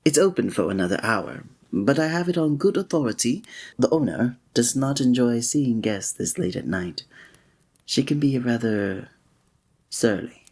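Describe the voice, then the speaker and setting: A man's voice: mid-range voice, expressive, moderate pace, a little mellow. A man playing a flirtatious, courteous innkeeper in a fantasy village, speaking formally in a scripted ASMR roleplay addressed to the listener. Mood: hospitable, wry. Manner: formal, old-fashioned, teasing, suave diction.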